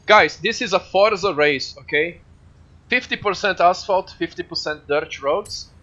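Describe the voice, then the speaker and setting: A man with a Brazilian Portuguese accent, a game streamer chatting casually and excitedly with viewers, swearing freely. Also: sits high, unhurried, highs cut off.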